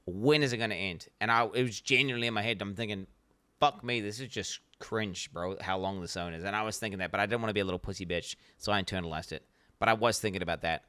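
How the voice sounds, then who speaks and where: NZ-accented male streamer, profane and rambling, crisp clear tone. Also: lowish voice, quick pace, sharp tone, dry recording. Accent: New Zealand. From New Zealand. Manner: blunt, profane, rambling with many restarts. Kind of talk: casual reaction stream commentary.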